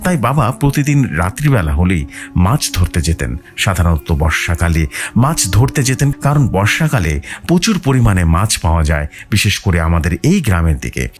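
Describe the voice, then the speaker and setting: A man's voice: sits low, big pitch swings, moderate pace. A man narrating a scripted horror story in a dramatic way. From West Bengal, India.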